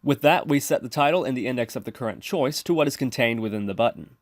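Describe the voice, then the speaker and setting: A man's voice: medium pitch, fast talker, no room sound. Man narrating a tutorial, dry close sound. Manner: methodical, step-by-step explaining. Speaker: tutorial presenter. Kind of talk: scripted instructional narration. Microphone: close mic, screen-recording voice-over.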